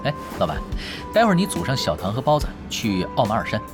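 A man playing a calm, shrewd young gamer strategist in a Mandarin audio drama, explaining schemes with casual confidence. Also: medium pitch, fast. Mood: confident, scheming. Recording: music bed under the dialogue.

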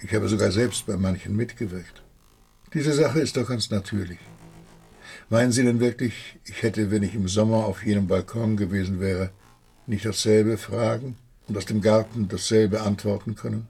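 Radio play role: earnest young man, husky, lowish voice, defensive and easily wounded. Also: fairly even intonation, moderate pace, warm tone. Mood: insistent, earnest. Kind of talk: literary radio drama acting.

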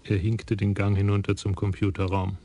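A man narrating a radio crime drama in a measured, even storytelling voice. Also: lowish voice, slight background noise.

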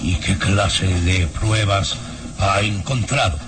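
A man's voice: sits low, slow, background noise. A man acting a haughty middle-aged baron in an old Mexican radio serial, with a pompous, insistent, formal manner. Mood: indignant, insistent.

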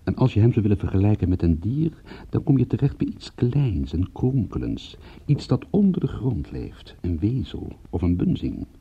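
A world-weary private detective tells his story in a low and heavily rasping voice. The delivery is sardonic and deadpan, in the style of radio noir. The sound is a little dull, like an older broadcast. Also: normal speed, exaggerated voice. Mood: wry, matter-of-fact. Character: world-weary, cynical male private detective recounting a case. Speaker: narrator in a radio drama. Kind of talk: scripted first-person detective radio-play narration. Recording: muffled old radio-play recording.

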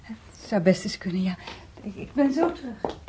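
An actress playing a shy, modest garden designer, speaking softly and hesitantly in a naturalistic radio drama. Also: female voice, mid-range voice, lively intonation, normal speed, a little hiss or hum, natural voice. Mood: bashful. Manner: hesitant, tentative.

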